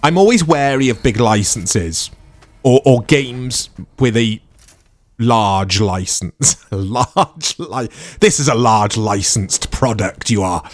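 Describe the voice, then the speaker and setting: A man chatting through a game he's playing, with a mid-pitched voice that swings around a lot as he talks. He's sarcastic and self-mocking, and keeps up a loose, casual running commentary. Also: lively intonation, slow pace. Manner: chatty, sarcastic, laughs at himself. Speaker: let's-play commentator.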